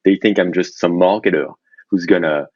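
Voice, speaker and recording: A man with a deep voice chats casually over a phone call. The sound is muffled and dry, with no room around it. He is candid and halting, often restarting his sentences. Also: darkish tone, dry recording. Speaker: podcast guest, indie software founder. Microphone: remote phone call.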